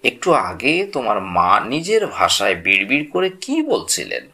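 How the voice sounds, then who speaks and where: A solemn, earnest prophet from a religious tale , voiced by a male storyteller. He speaks formally and gravely in a bright, creaky voice with expressive rises and falls. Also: mid-range voice, a little slow, exaggerated voice. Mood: curious.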